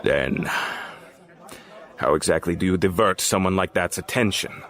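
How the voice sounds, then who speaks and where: A man's voice: deep voice, moderate pace, a bit bright, a faint crowd. A man playing a cold, menacing crime boss in an audio drama, speaking in a controlled, condescending and sardonic way. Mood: skeptical, pointed. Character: cold, menacing male crime boss hosting powerful guests. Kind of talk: scripted audio drama dialogue.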